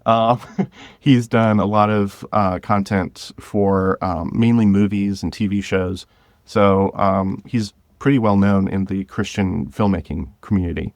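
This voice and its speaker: A man's voice: fairly low pitch, fairly even intonation, measured pace, dry recording, clean studio sound. A man talking casually as an interview guest, thinking aloud and correcting himself as he goes. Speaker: guest, independent audio drama creator.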